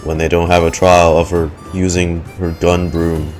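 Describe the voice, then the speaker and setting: A man's voice: low voice, flat delivery, moderate pace, darkish tone, soft background music. A man doing casual, joking reaction commentary as a game streamer. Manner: playful, joking, mimics sound effects.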